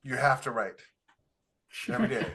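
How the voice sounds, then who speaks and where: A man's voice: mid-range voice, normal speed, crowd noise. A man co-hosting a casual podcast, talking bluntly and jokingly to listeners. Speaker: podcast co-host and writer. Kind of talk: casual chat with advice to listeners. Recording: noticeable background noise.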